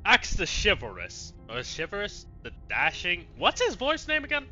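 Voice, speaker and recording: A man's voice: sits high, huge pitch swings, moderate pace, pushed and bright. A man doing casual let's play commentary, irreverent and sweary, chatting away to himself as he goes. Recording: dull lo-fi mic, faint music. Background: faint game music.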